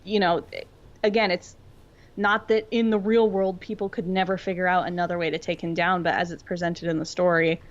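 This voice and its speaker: A woman with a fairly low voice talks casually as a podcast co-host. She is earnest and thoughtful, reasoning aloud in informal language. The recording is a little dull and lo-fi. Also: flat delivery, brisk. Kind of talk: casual discussion of fiction. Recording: dull lo-fi audio.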